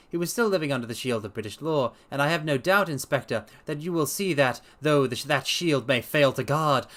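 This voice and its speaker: A man voicing a brisk, confident, eloquent detective in a dramatic story reading, explaining with emphatic precision. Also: on the high side, a bit bright. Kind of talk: dramatic audiobook-style character reading.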